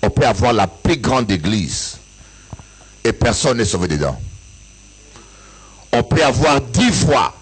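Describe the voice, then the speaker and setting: A man from Africa preaches to his congregation with a husky, slightly rough voice. His delivery swings widely in pitch, climbing into sing-song peaks and occasional shouts before dropping low again. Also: very animated, slow, long pauses, rough recording. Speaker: bishop and pastor. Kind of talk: sermon. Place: church service with an audience.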